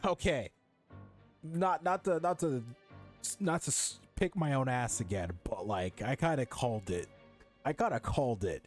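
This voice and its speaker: A young man reacts casually to a video game. He talks in slangy, halting exclamations with a widely swinging pitch. Faint music plays underneath. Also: lively intonation. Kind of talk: casual gaming commentary.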